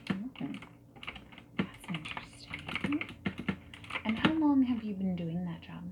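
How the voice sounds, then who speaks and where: A woman doing a friendly, reassuring ASMR roleplay as a dating service consultant, soft-spoken and often whispering. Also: medium pitch, animated, slow pace, very noisy. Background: mechanical keyboard typing and clicks.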